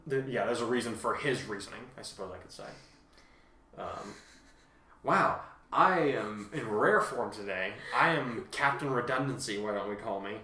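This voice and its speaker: A man hosting a casual fan discussion, talking in a rambling, halting way and often correcting himself mid-thought. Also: fairly low pitch, lively intonation, pushed and bright, recorded from a distance. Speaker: host and fan fiction author. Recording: echoey untreated room.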